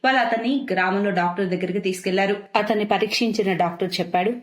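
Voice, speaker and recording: A woman's voice: medium pitch, fast talker, reverberant. A woman narrating a scripted moral story as a storyteller.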